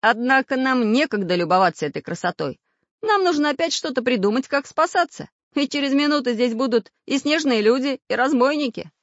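Woman as a brisk, high-voiced little male handyman robot. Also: no room sound, lo-fi sound. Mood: wry, urgent. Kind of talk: children's storybook character voice.

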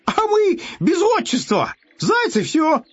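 Excitable, squeaky cartoonish hare. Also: male voice, a little slow, slightly rough, lo-fi sound, exaggerated voice. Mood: cheerful. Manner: exclamatory, bewildered, colloquial, shouting. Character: excitable, simple, cheerful young male hare.

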